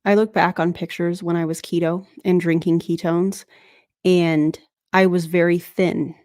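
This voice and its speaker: A woman's voice: on the low side, flat delivery, a little slow, a little mellow, no echo, studio quality. A woman hosting a casual commentary livestream, reacting to a clip in a blunt, skeptical and sometimes sarcastic way.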